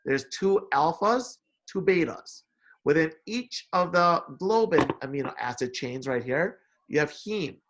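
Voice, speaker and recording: A man. His voice sits a little high for a man. He explains step by step, repeating key phrases and often tagging points with a quick check-in. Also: expressive, fairly bright tone. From Indonesia, moved to America at eight. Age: 40s. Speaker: lecturer, science instructor. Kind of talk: informal explanatory lecture.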